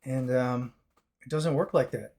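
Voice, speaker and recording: A man with a raspy, chesty voice, recovering after a long fast, talking casually to his viewers in a rambling way with many fillers and restarts. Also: mid-range voice, darkish tone. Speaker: vlogger. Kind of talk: casual talk to camera.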